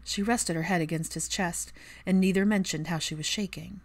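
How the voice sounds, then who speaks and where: A woman's voice: on the low side, steady tone, normal speed. A woman reading scripted fiction aloud as an audiobook narrator.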